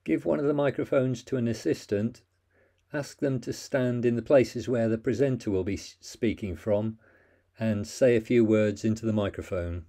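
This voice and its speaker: A man's voice: mid-range voice, moderate pace, mellow. A man narrating a plain, methodical how-to voice-over, giving step-by-step instructions with the odd small slip.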